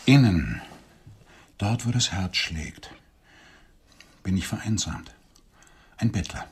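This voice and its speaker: A man's voice: low-pitched, big pitch swings, slow, breathy edge, heavily acted. An actor playing a lonely, grandiloquent middle-aged businessman wooing on a blind date in a radio drama, with florid, theatrical phrasing. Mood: melancholy, self-pitying.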